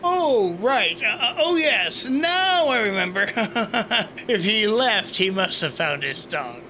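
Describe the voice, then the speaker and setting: A man's voice: sits high, big pitch swings, slow, pushed and bright, exaggerated voice. A man playing a bumbling, self-important space captain in a sci-fi comedy audio drama, flustered and blustering, with faint noise behind.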